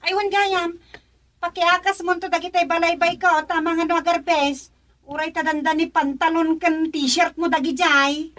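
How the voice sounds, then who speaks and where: High-voiced, chatty village wife. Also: female voice, flat delivery, normal speed, fairly bright tone, dry recording. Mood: instructing. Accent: Filipino, Ilocano. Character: busy, chatty village wife giving her husband chores. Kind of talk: Ilocano comedy radio drama acting.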